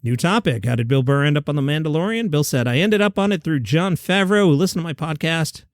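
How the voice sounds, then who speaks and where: A man's voice: on the high side, expressive, quick pace, no echo. A man hosting a comedy news podcast, reading headlines with dry offhand asides, his voice a little worn. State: slightly worn voice.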